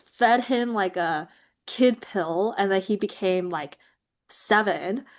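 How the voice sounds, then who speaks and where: A woman's voice: mid-range voice, slow. Woman from Taiwan telling a story. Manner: relaxed storytelling with many "like"s. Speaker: webinar guest. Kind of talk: casual conversation.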